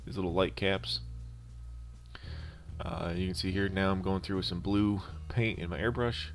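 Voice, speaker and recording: Deep-voiced man, halting DIY narration. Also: steady tone. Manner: halting, unscripted explaining. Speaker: DIY prop-making video host. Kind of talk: casual tutorial voice-over narration. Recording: instrumental music behind the voice. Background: music.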